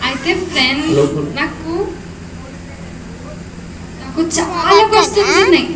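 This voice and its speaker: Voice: sits high, measured pace, a crowd in the background. ప్రశ్నలు అడిగే చిన్న పాప బొమ్మ. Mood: inquisitive. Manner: inquisitive, eager questioning. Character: curious little girl puppet full of questions. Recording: echoey hall from far off with loud noise.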